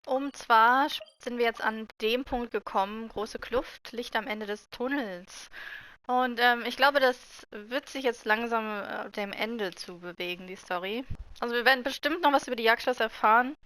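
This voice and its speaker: A woman's voice: fairly high pitch, normal speed, bright, forceful tone, low-quality recording. A woman giving casual let's play commentary, informally thinking aloud as she goes. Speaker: gaming streamer.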